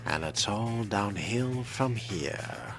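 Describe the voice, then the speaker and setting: Very low, slow, sardonic man over game music. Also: animated. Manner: dry, sardonic, wordy. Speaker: role-playing let's player. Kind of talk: in-character game commentary, musing aloud and reading text. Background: video game music.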